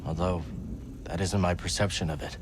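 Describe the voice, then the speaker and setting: A man's voice: low voice, steady tone, moderate pace, close to an everyday voice. A man playing an earnest, polite young hero in a video game, plain-spoken and understated. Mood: earnest, calm. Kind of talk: scripted video game dialogue.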